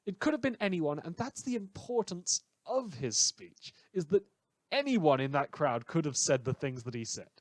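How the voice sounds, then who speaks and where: A man playing a cynical, misanthropic radio host in a post-apocalyptic fan audio drama, speaking sardonically with dramatic, halting pauses. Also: on the high side, big pitch swings, no room sound. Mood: sardonic. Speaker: fictional radio show host.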